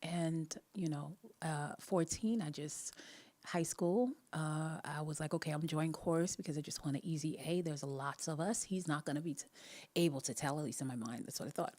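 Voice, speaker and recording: Jamaican-accented woman, young adult host, animated storyteller who laughs through her lines, lowish pitch. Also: normal speed. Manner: laughs often, animated, many restarts and asides. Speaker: podcast host. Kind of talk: casual faith talk, personal storytelling.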